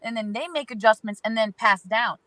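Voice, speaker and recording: A woman's voice: a little high, a little fast, fairly bright tone, dry recording. A woman appearing as an astrology guest, giving spiritual advice in an emphatic way that stacks phrases into lists.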